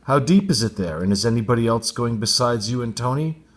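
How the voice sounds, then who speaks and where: A man's voice: medium pitch, lively intonation, brisk, close to an everyday voice. A man voicing a boy's mother in a storybook, half-serious and half-amused. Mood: wry, teasing.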